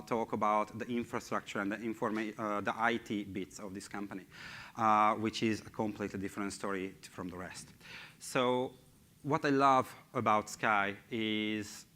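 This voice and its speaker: Hungarian-accented man, bright voice. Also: mid-range voice. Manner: hesitant, many fillers, non-native phrasing. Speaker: conference speaker, engineering team lead. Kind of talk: tech conference presentation. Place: large conference hall stage.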